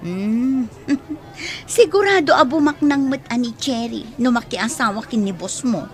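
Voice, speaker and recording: A woman's voice: a little high, animated, unhurried. A Filipino woman playing a worldly, pragmatic character in a radio drama. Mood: intrigued, teasing.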